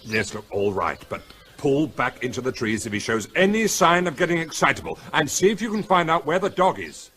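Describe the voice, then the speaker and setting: An actor playing a practical, authoritative police officer in charge, in a naturalistic radio drama. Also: male voice, a little high, very animated, brisk, sharp tone, a little hiss or hum. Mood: firm, cautious. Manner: authoritative, matter-of-fact. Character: firm, practical male police officer commanding a siege.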